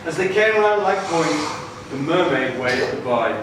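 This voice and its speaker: A man's voice: fairly high pitch, lively intonation, normal speed. A man narrating a puppet show as a live storyteller. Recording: distant, very echoey, noisy live hall.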